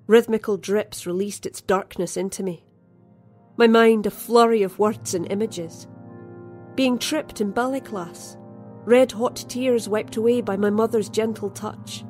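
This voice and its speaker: A woman reading a scripted first-person horror story in a measured, dramatic, literary manner. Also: mid-range voice, darkish tone. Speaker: story narrator.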